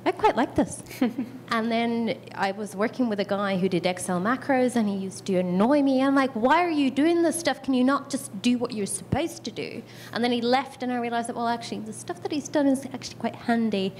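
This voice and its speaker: A woman in her thirties asks a question from the floor, chatty and self-deprecating. She plays out her own reactions in little asides. A faint rumble sits under the recording. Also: brisk, hardly any pauses, a little mellow. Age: 30s. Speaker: audience member asking a question.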